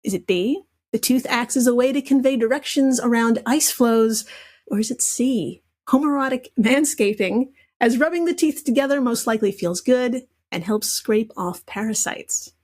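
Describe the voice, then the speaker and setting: Woman, higher-pitched voice, playful and sweary, running a casual comedy quiz on a podcast. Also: normal speed, a little mellow. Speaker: podcast guest posing quiz questions.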